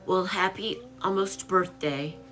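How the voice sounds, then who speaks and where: A woman's voice: on the low side, slow, fairly bright tone, faint music behind. A woman in her late fifties chatting casually on a home live stream, greeting viewers by name while she lists items to sell. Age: 50s. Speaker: online seller live-streaming.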